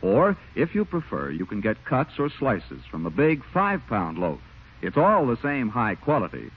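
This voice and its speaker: A man's voice: medium pitch, huge pitch swings, normal speed, breathy edge. A man giving a scripted 1940s-style radio announcer read. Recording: thin, tinny old radio recording.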